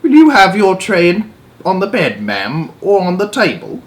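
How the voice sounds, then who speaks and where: Brief: a deferential older maid, soft and high-pitched, voiced by a male reader. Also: huge pitch swings, normal speed. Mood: polite. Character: oldish female maid, timid and deferential.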